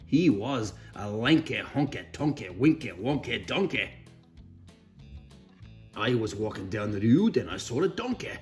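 Playful guy reading a kids book out loud, really animated, bit of music behind. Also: medium pitch, measured pace. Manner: playful, cheeky asides. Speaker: storybook reader. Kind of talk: animated read-aloud for children.

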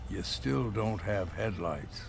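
Cartoon game elder car: fatherly, gruff, heavy vocal fry, music underneath. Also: low voice, expressive, slow, full character voice. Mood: stern, fond. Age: older. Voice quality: gravelly. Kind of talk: animated video game cutscene acting.

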